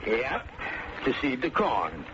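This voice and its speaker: An actor playing a talkative, touchy old farmer with a folksy rural American drawl and creaky voice in an old-time radio drama. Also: male voice, medium pitch, wildly swooping pitch, slow. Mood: bewildered. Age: older. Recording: muffled, noisy old radio recording.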